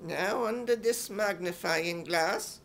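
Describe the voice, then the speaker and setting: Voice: medium pitch, takes it slowly, fairly bright tone, pitched below the performer's own voice. A performer voicing a frail, fussy, miserly old male pawnbroker with an imitation British accent, in a radio comedy. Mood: fussy, doddering.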